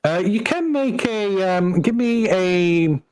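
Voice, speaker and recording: Halting game master, slightly high male voice. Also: expressive, a little mellow, clean tone. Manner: halting, searching for words. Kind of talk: live tabletop roleplaying session narration.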